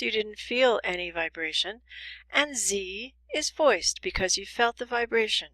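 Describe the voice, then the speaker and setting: A woman teaching English pronunciation in a scripted lesson, enunciating deliberately and carefully. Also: mid-range voice, pushed and bright, no room sound, low-quality recording. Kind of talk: scripted instructional lesson with demonstrations.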